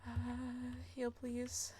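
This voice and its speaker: A woman's voice: a little high, completely flat delivery, drawn-out words, dark tone, slight background noise. A woman streaming a video game, casually rambling and thinking aloud as she plays. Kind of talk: casual chatty gameplay commentary.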